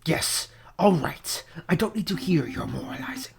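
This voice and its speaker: A woman voicing an irritable, domineering male judge in a courtroom game, with a breathy, harsh voice and a slow, contemptuous delivery. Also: deep voice, expressive, crowd noise. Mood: irritated.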